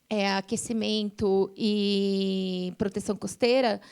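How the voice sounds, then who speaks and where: A woman's voice: medium pitch, darkish tone. A woman with a Brazilian accent explaining environmental science as a panelist at a forum, often adding small tag questions as she goes.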